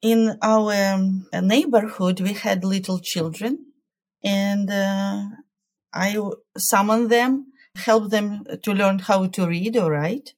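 A woman, a culture coach answering interview questions in a careful, measured way with hesitant fillers. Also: mid-range voice, steady tone, darkish tone. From Ukraine.

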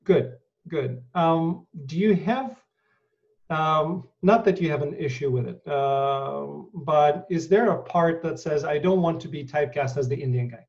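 A man's voice: a little high, measured pace, darkish tone. Hesitant man, distant and muffled. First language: Russian. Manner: hesitant, many ums and uhs. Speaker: interview host. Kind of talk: interview questions. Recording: dull, distant mic.

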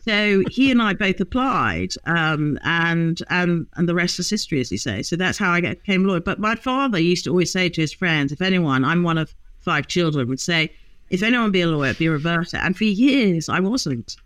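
A woman in her fifties, a guest lawyer telling humorous stories in a relaxed interview. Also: fairly low pitch, a little fast, no echo. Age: 50s. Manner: lively, humorous storyteller. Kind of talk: casual interview chat.

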